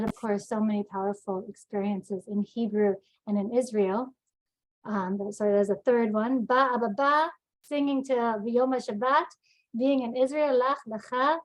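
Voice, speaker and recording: A woman rabbi talks in a lively, chatty way over a video call. Her voice sits a little high. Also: rough recording. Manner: lively, chatty, sings snatches of song. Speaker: synagogue rabbi. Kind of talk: webinar personal remarks.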